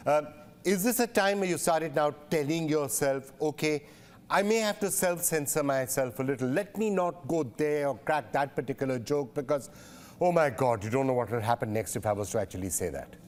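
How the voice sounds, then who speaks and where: A male news anchor putting formal, measured questions to a guest in a broadcast interview. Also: fairly high pitch.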